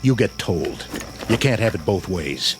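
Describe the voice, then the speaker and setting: An actor playing a war-weary, humane army sergeant in a radio drama, dry and sarcastic with a folksy manner. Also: male voice, lowish voice, lively intonation, measured pace, noisy background. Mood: bitter, defiant. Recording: radio drama with faint music underneath.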